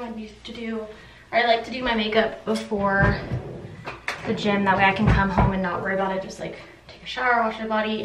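High-voiced young woman vlogging, roomy sound. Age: young adult. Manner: chatty, informal, thinking aloud in short phrases. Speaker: lifestyle vlogger. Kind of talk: casual chatty day-in-the-life vlog. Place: apartment kitchen and rooms, indoors. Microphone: camera mic a few feet away. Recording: roomy, distant, noisy camera audio.

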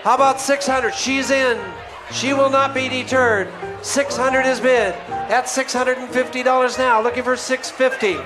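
A man's voice: extremely high pitch, flat delivery, normal speed, music in the background. A middle-aged man working as an auctioneer at a live benefit auction, chanting repeated bids into a handheld microphone in a banquet hall. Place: large banquet hall, on stage. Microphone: handheld microphone through a PA.

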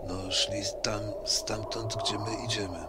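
A man's voice: on the low side, steady tone, slow, loud music behind the voice. A man speaking bluntly and improvising in-character dialogue as a player in an online tabletop role-playing game. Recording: online session with loud background noise.

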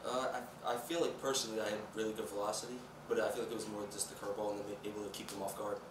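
A young man, a college baseball pitcher, answering questions in a post-game interview. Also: little pitch movement, rapid-fire. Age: young adult. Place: indoor press conference room at a table. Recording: echoey, distant mic, clear room noise.

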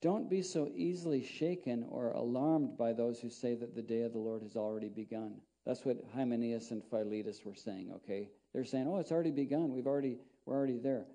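A man's voice: mid-range voice, a little fast, few pauses, dark tone. A middle-aged man, a pastor, preaching a sermon in a small church and reading scripture aloud in a conversational, rambling way with frequent restarts. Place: small church sanctuary, indoors.

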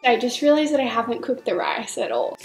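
A woman's voice: a little high, lively intonation, a little fast, music in the background, poor audio. A woman, chatting casually and giggling as she vlogs around her apartment on a handheld camera. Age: 20s. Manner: chatty, giggly, self-deprecating. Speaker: lifestyle vlogger. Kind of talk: casual vlog chat. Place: home kitchen and bedroom of an apartment. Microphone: handheld camera at arm's length.

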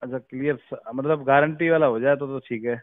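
A man's voice: medium pitch, fairly even intonation, a little fast, no room sound. A man talking casually on a phone call, deferential and full of questions. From Uttar Pradesh, India. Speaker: village head, caller. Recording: narrow, tinny recorded phone call.

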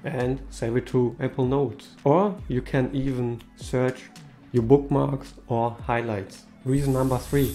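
Non-native English man, mellow tone. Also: medium pitch. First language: not English. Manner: slightly non-native phrasing, upbeat. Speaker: tech video host. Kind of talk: casual explainer to camera. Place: small room indoors.

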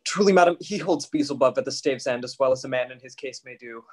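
Jester for a Shakespeare comedy, played by a man: cheeky, quick-witted, middle-register voice. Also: mid-range voice, breathy edge, lightly acted. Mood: playful. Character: impudent witty fool in a Shakespeare comedy. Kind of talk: live table read of Shakespearean verse drama. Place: home kitchen, video call.

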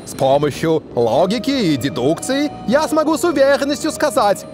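A man's voice: high voice, lively intonation, moderate pace, music in the background. A man voicing a pompous, theatrical self-styled detective inspector in a cartoon. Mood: self-satisfied. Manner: theatrical, self-important, declaiming. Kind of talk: cartoon dub acting.

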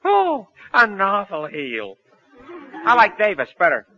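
An actor playing a slangy, wisecracking small-time crook and loyal sidekick in 1940s radio comedy style. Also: male voice, high-pitched, wildly swooping pitch, takes it slowly, a little hiss or hum, full character voice. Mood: wry. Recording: muffled vintage 1940s radio recording.

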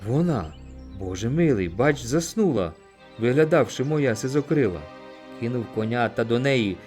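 A man narrating an audiobook, reciting poetry in an expressive, theatrical way. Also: medium pitch, moderate pace. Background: music.

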